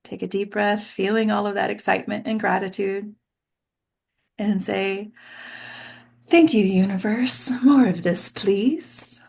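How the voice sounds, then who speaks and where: Creaky-voiced woman hosting casually. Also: medium pitch, a little slow, darkish tone. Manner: informal, encouraging, guiding the group. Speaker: host and acting coach. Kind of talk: casual hosting of an online actors' table read. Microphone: computer mic on a video call.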